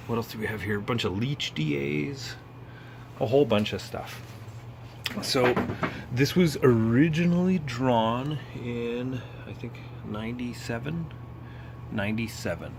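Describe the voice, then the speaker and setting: A man giving a casual, unscripted technical walkthrough, halting and thinking aloud as he explains. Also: lowish voice, slight background noise. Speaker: presenter explaining vintage broadcast gear.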